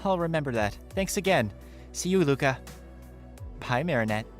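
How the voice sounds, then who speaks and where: A man's voice: medium pitch, lively intonation, moderate pace, faint music behind, close to an everyday voice. A man playing a polite teenage boy who downplays his loneliness in an audio drama. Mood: friendly, resigned. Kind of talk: fan audio drama acting.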